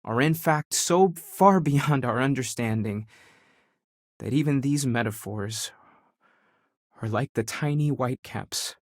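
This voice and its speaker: A man's voice: mid-range voice, slow pace, long pauses, dry recording. Video game role: earnest man of faith exploring space. Mid-range voice, sincere and reflective, natural conversational delivery, very dry sound. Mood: philosophical. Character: earnest, devout young male space explorer, thoughtful seeker.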